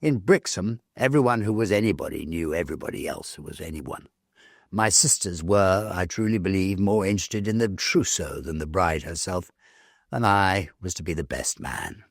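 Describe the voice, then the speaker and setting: A man reading a Victorian story aloud, measured and expressive, with dramatic pauses. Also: sits low, dry recording, studio quality. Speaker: story reader, narrator. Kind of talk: dramatic literary narration of a Victorian ghost story.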